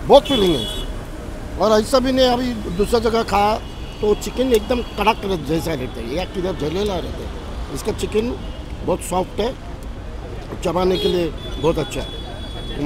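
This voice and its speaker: Middle-aged customer gushing about food, highish voice, busy street noise. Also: male voice, normal speed. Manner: enthusiastic, praising. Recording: noisy city street.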